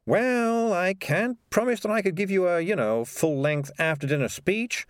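A man's voice: sits high, expressive, normal speed, dry recording, studio quality, pitched above the performer's own voice. A man doing a wry talking cat. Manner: wry and chatty. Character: clever, sardonic male talking cat.

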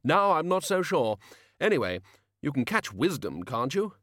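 A man doing a booming, bluff old archchancellor wizard. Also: very animated, normal speed, no room sound, studio quality. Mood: blustering. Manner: loud, hearty, blustering.

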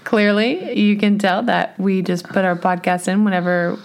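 A woman co-hosting a podcast with her husband, chatty and animated, her voice a little scratchy, laughing often. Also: medium pitch, moderate pace. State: slightly scratchy voice. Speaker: podcast co-host. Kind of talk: casual chat.

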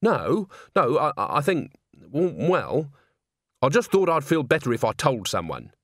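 A man's voice: medium pitch, huge pitch swings, a little slow, fairly bright tone, no echo, clean studio sound, exaggerated voice. A man voicing a friendly, easygoing young man who explains things casually, in an audiobook story. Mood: reassuring. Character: friendly, easygoing young male organ tinkerer.

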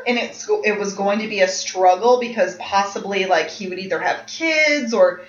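A woman's voice: mid-range voice, moderate pace, reverberant, highs cut off. A woman in her thirties chatting casually as a podcast co-host, blunt, swearing freely and laughing often. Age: 30s. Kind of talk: casual chat.

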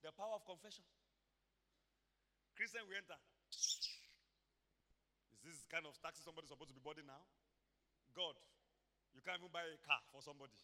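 A man preaching an energetic sermon in a church, swinging from quiet asides to shouted exclamations and acting out voices. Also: a little high, normal speed, long pauses. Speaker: pastor. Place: church hall.

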